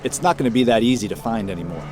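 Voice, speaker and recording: An actor playing a blunt, stubborn, profane sea captain and treasure hunter in an audio drama. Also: male voice, on the low side, lively intonation, racing, over music, lightly acted. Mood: stubborn, irritated. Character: gruff, stubborn, hot-tempered male boat captain and treasure hunter. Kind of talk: full-cast audio drama acting.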